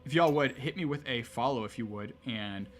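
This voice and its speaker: A man of ordinary pitch chats casually on a live stream, fielding one viewer question after another. He talks loosely and explains at length with frequent "um"s, and a faint hum sits under his voice. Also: moderate pace. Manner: relaxed, conversational, explains at length with many "um"s. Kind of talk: casual livestream Q&A.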